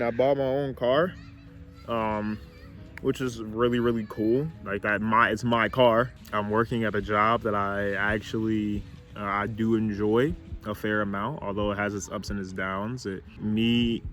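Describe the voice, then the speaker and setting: A man's voice: lowish voice, a bit bright. A man about to turn twenty-one, rambling and hesitant as he thinks aloud in a casual vlog, recorded outdoors on a camera mic. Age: 20s. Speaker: vlogger. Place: outdoors near a car with a city view.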